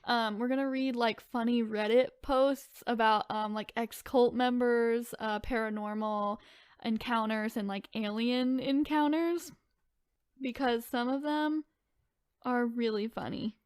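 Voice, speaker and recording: A woman's voice: fairly high pitch, steady tone, measured pace, clear, resonant tone, no room sound, clean studio sound. A woman co-hosting a podcast in casual, informal chat, reacting freely and peppering her talk with "like". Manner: informal, reactive, frequent use of like. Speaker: podcast co-host.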